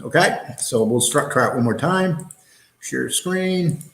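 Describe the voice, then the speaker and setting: A man's voice: mid-range voice, lively intonation, measured pace, vocal fry, fairly bright tone. A man hosting a casual livestream, talking in a blunt, combative, streetwise way. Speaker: show host. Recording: roomy, echoey sound.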